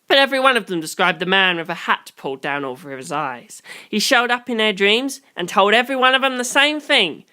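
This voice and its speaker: A reader voicing an excitable, blunt male investigator who warns a friend in emphatic, profane slang. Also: mid-range voice, fairly quick, few pauses, voice pushed up. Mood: urgent.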